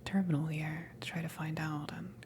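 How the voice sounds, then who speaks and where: A woman playing a casual, blunt alien salvage worker in an ASMR roleplay, speaking in a soft, breathy whisper with a halting, teasing delivery. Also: deep voice, level tone, lightly acted. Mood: curious, hesitant. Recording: noticeable background noise, ambience. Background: ambient noise.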